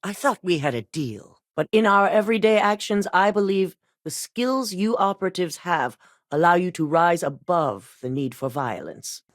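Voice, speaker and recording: An actress playing a ruthless middle-aged female executive in a video game, speaking in a measured, clipped, coldly authoritative way. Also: lowish voice, expressive, dry recording, studio quality, lightly acted. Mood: stern. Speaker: company boss giving missions.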